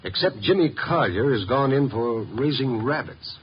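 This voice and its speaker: An earnest male aide in a vintage radio play, acted by a man. He is respectful, with a medium pitch that moves expressively. The recording is dull with some noise. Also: animated, normal speed, natural voice. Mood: earnest, guarded. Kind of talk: 1950s radio acting.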